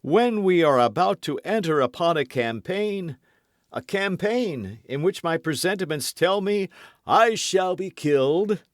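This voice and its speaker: A man's voice: fairly high pitch, sing-song, a little slow, dry recording, clean studio sound, voice pushed up. A man reading an audiobook, voicing a boastful, scheming musketeer in a raised, grand, wheedling voice. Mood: mock-melancholy. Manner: grand, wheedling, mock-melancholy.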